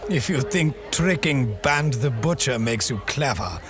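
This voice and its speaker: An actor playing a cautious, sharp-tongued companion in an audio drama, with a dry, needling manner. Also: male voice, medium pitch, lively intonation, measured pace, over music, close to an everyday voice. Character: wary, sharp-tongued male companion of a monster hunter.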